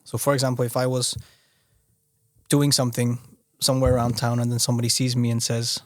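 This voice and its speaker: A young man who grew up in Austria chats as a guest on a podcast, his speech halting and full of restarts. His voice sits at a middle pitch for a man and is recorded close and dry, with no room sound. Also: little pitch movement, dry recording. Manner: halting, restarts often, leans on "you know". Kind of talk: casual conversational interview.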